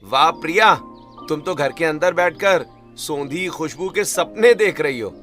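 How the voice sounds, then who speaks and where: This is a man playing a cheerful young farmer in a family cartoon. He is teasing and optimistic. The voice is bright and slightly high, over faint music. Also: expressive, unhurried.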